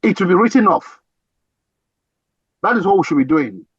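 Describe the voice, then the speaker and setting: A man with a husky voice, giving emphatic political commentary with many restarts and repeated phrases. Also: high-pitched, sing-song, normal speed, no room sound, highs cut off. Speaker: guest commentator.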